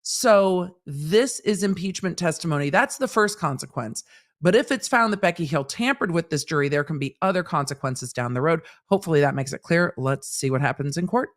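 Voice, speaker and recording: A woman's voice: low-pitched, expressive, fairly quick. A woman hosting a live legal commentary stream, chatty and explaining things plainly. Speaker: host commenting on a court feed. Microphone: in-ear monitors, streaming mic.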